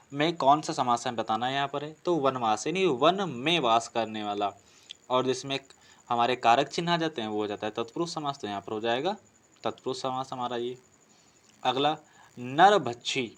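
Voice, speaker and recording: A man's voice: mid-range voice, fairly bright tone. A man from teaching like a coaching instructor, posing questions and explaining answers with frequent repetition. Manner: explanatory, repeats and rephrases answers, asks himself questions. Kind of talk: Hindi grammar lesson, working through practice questions.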